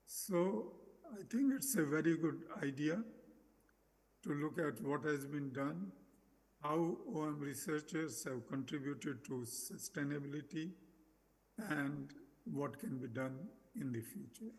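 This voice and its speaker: A man closes his academic talk in a halting way, going back over his phrases. His voice is gravelly and husky. A long reverb from the hall hangs on every line. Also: a little high, darkish tone. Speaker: conference speaker.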